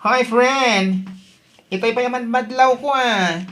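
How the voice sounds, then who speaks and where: Nosy female best friend, played by an actress, slightly high voice, Ilocano accent, concerned, audio drama. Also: takes it slowly, fairly bright tone. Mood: curious. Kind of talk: Ilocano radio drama acting.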